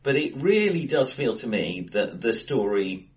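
A man co-hosting a casual film discussion, hesitant and self-correcting as he thinks aloud, recorded in an acoustically bright room. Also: mid-range voice, sing-song, a little slow. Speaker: film podcast co-host. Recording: echoey bright room, face to face.

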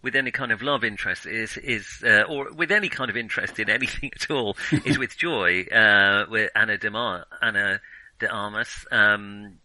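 A man's voice: lowish voice, moderate pace, pushed and bright, dry recording, low-quality recording. A man co-hosting a casual film discussion, giving opinions hesitantly as he thinks them through. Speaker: film podcast co-host.

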